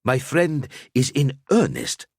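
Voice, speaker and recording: A man's voice: medium pitch, sing-song, takes it slowly, slightly rough, no echo, studio quality, pitched above the performer's own voice, exaggerated voice. A man voicing an earnest, cautious male knight who speaks with urgent concern.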